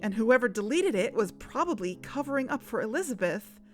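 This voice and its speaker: A woman's voice: on the high side, very animated, soft background music. A woman playing a hesitant, troubled investigator. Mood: accusing. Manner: hesitant, soft, halting. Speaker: investigator in audio drama. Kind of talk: audio drama acting.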